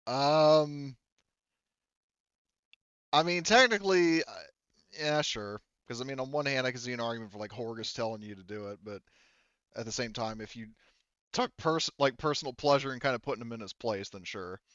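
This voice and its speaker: A man chatting casually as game master at a tabletop game, talking in a rambling, halting way with plenty of swearing. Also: medium pitch, fairly bright tone, no room sound, muffled recording.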